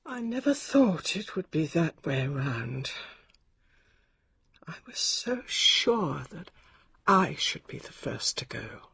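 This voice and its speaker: A sick older English lady speaks from her bed in a radio drama. Her voice is frail, very husky and gravelly, slow and halting, and it swings between querulous command and wandering confusion. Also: low voice, big pitch swings, pushed and bright, exaggerated voice. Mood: wistful, fretful. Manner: halting, querulous, imperious upper-class speech. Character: ailing bedridden older lady, sharp-tongued and wandering.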